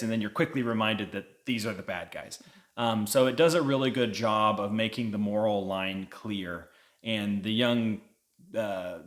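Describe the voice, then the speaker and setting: A man giving a casual, conversational book review, explaining things as a vlog co-host. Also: fairly low pitch.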